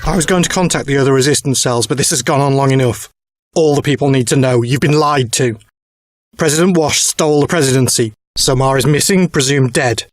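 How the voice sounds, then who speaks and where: A man's voice: mid-range voice, fairly quick, no echo, faint music behind, lightly acted. A man playing a defiant resistance leader in an audio drama, urgent and rousing in his delivery. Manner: urgent, declamatory.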